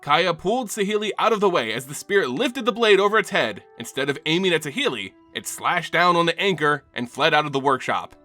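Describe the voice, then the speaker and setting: A man giving dramatic scripted narration and commentary as the host of a fantasy lore channel, with an expressive storyteller delivery. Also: a little high, brisk, few pauses, soft background music.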